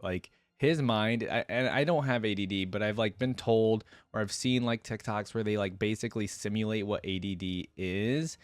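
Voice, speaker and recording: A man's voice: lowish voice, moderate pace, few pauses, dry recording. A man giving casual, rambling commentary as the host of a reaction video.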